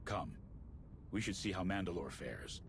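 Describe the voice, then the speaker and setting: A solemn warrior sage in a video game. His voice is deep and moves expressively, with grave, formal phrasing. Ambient noise is clearly heard behind him. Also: animated, moderate pace. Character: solemn, wise male Mandalorian warrior elder and arbiter. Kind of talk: video game cutscene acting.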